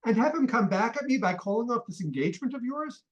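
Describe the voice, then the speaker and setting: A man's voice: very high voice, sing-song, fast talker, dull audio. An actor playing an irascible, blustering middle-aged father and obsessive collector in a comic radio play, ranting indignantly. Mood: indignant, sarcastic.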